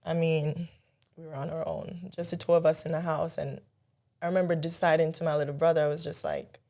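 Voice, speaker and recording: Guest: woman, slightly low voice, earnest storyteller in casual talk. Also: brisk, creaky. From Jamaica. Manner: earnest, storytelling, animated when recalling childhood. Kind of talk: casual podcast conversation.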